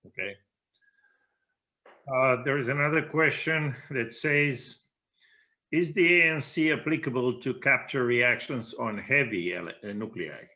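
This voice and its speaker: Bright-voiced man, dull lo-fi sound. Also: mid-range voice, a little slow. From Romania. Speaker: moderator reading questions. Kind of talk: reading out audience questions.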